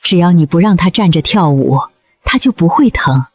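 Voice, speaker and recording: A woman's voice: fairly low pitch, animated, moderate pace, darkish tone, slightly rough, no room sound. A woman playing a practical, plain-spoken animal-shop keeper, firm but caring, in a scripted drama. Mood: patient, instructive.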